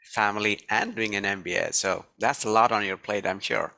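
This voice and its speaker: A man's voice: on the low side, steady tone, moderate pace, gravelly, bright, forceful tone. A man hosting a podcast interview, asking loose, rephrased questions full of fillers. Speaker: podcast host. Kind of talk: casual interview.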